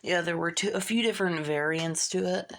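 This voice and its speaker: Creaky-voiced hobbyist talking through a repair. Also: medium pitch, a little fast, a bit bright, slight background noise. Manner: informal, muttering asides while working. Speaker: hobbyist repairer showing a fix. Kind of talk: casual hands-on repair narration.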